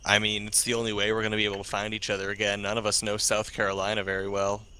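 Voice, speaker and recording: Flat, bright, low-pitched man. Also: racing, clean tone. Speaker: role-play game player. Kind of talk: casual tactical discussion in a game.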